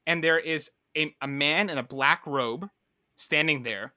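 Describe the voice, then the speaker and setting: A man co-hosting a podcast in casual banter, telling things like an animated storyteller and often checking in with "right?". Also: fairly high pitch, a little slow, dry recording, clean studio sound. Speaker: podcast co-host.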